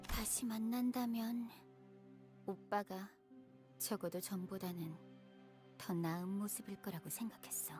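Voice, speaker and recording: A woman's voice: medium pitch, steady tone, racing, long pauses, warm tone, faint music behind, lightly acted. An actress playing an aloof, scornful young noblewoman in a video game, with a curt, cool manner. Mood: disdainful.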